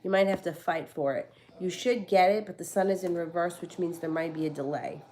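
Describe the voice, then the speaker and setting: A woman's voice: on the low side, fairly even intonation, fairly quick, a little mellow, slight background noise. Stuffy-voiced chatty woman reading tarot. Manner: rambling, chatty, with many fillers and restarts. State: stuffy from a cold. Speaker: tarot reader. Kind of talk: casual live-stream tarot reading. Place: home.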